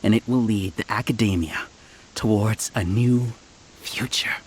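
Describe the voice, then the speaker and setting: An actor playing a scheming male scholar who leads a hive mind, speaking in a smooth, condescending, lecturing way. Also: lowish voice, unhurried, heavy background noise, lightly acted. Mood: smug. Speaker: villain. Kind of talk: video game voice acting.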